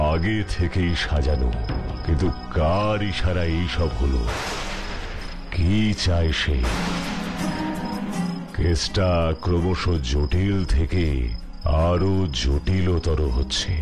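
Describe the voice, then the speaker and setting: A deep male voice with music clearly behind it, a cartoon superhero detective. He is grave and sure of himself. He questions everything with deliberate, dramatic weight. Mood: grave, suspicious. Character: strong superhero detective, grave, inquisitive and self-assured. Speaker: detective hero of the show. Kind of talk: cartoon voice acting.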